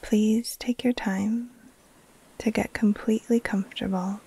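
A woman guiding a relaxation meditation in a soft, breathy, soothing voice that often trails into a near-whisper, with long pauses between short phrases. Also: mid-range voice, fairly even intonation. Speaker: yoga nidra teacher, meditation guide.

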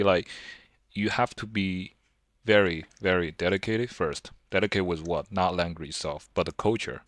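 Chinese guy in his 20s, accented english, real low voice, rambly and self-deprecating, lots of uhs. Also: no room sound, studio quality. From China. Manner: rambling, self-deprecating, frequent fillers and restarts. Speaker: vlogger. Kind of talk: casual talk to camera over gameplay.

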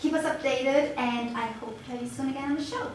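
A woman's voice: high-pitched, steady tone, very fast, slight background noise. A young woman hosting an interview, who asks questions in a friendly, encouraging way. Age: young adult. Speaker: host. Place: bare studio room indoors. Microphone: lapel. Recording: echoey room, mic at a distance.

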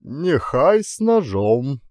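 Storybook coachman, played by a male reader: Ukrainian accent, unhurried, laconic, unflappable, dry close sound. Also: medium pitch, very animated, darkish tone, dry recording, pitched above the performer's own voice. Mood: lazy, calm. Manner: lazy, slow, laconic. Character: sluggish, unflappable Ukrainian coachman, adult man.

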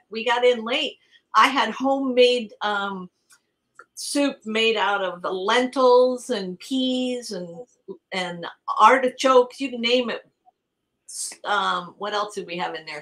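A woman's voice: fairly high pitch, a bit bright. A middle-aged woman whose first language is Italian, chatting animatedly as a guest on a video call. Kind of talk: casual video call chat.

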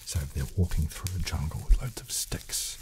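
Very deep, soft-spoken British man. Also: lively intonation, moderate pace. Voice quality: soft, hushed. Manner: gentle, explanatory. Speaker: host of an ASMR show. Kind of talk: soft-spoken ASMR chat. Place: small decorated room, indoors. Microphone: close studio condenser microphone on table. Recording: crackling plastic handling noise on mic.

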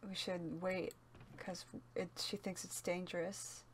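A woman's voice: fairly low pitch, level tone, a little slow, close to an everyday voice. A woman playing a reserved, weary apocalypse survivor with terse, hesitant delivery in an amateur roleplay, with faint noise. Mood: weary, subdued.

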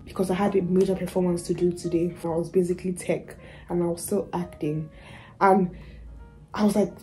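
A young woman vlogging from her student room, telling a story in a rambling, chatty way with many fillers, her voice a little raspy from having lost it. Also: fairly low pitch, dark tone. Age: young adult. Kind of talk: casual vlog storytime. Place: small student bedroom indoors.

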